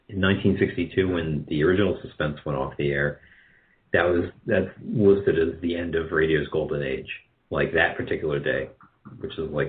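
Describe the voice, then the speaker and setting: A man speaking casually as an interview guest, halting and rambling as he corrects himself. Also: rumbling low. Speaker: guest, radio drama producer. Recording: dull lo-fi call audio.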